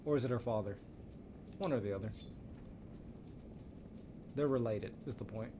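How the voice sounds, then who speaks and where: A man's voice: mid-range voice, moderate pace, background noise. A man livestreaming a video game with casual, chatty, offhand commentary and some mild swearing. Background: video game music and sound.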